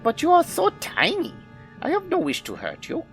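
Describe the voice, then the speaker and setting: A man's voice: extremely high pitch, huge pitch swings, moderate pace, soft background music, full character voice. A man playing a pompous, aggrieved mad scientist villain in an audio drama, with grandiose theatrical delivery. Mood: condescending.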